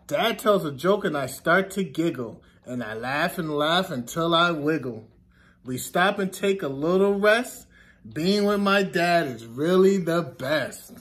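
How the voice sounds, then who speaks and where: A man in his thirties reads a picture book to children as their teacher. His voice sits high for a man, and he takes his time. The delivery is deliberate and sing-song, leaning on each repeated word. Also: animated, fairly bright tone. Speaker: teacher reading aloud to children. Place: classroom, indoors.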